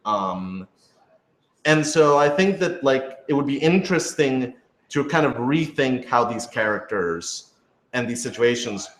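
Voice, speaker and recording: A man's voice: medium pitch, expressive, a little slow. A man talking casually and thoughtfully in a group discussion as a show's creator, hedging and often saying "like". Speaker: podcast creator and writer in a group Q&A. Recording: roomy, echoey room sound.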